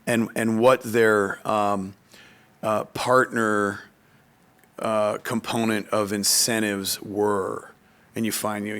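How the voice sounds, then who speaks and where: A man talking conversationally on a podcast, telling anecdotes in a halting way and often repeating words as he explains. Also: fairly low pitch, creaky, a bit bright. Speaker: economic development official, podcast speaker.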